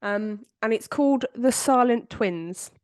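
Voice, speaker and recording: A woman's voice: on the high side, a little slow, a little mellow, no echo, clean studio sound. A woman hosting a true-crime podcast, chatting casually with a congested, bunged-up voice and plenty of filler words. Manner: chatty, rambling, with frequent fillers. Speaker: podcast host.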